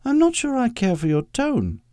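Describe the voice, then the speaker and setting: A man's voice: very high-pitched, wildly swooping pitch, normal speed, warm tone, no room sound, clean studio sound, voice pushed up, exaggerated voice. A man voicing a prim, imperious elderly British woman, a secretary in an audiobook story. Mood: haughty, icy.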